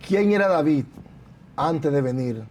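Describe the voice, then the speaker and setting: A man's voice: a little high, lively intonation, measured pace, darkish tone, slight background noise. A man who is a pastor hosting a church testimony program, speaking in a formal, preacherly way. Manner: preacherly, formal, interjects praise. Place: church building.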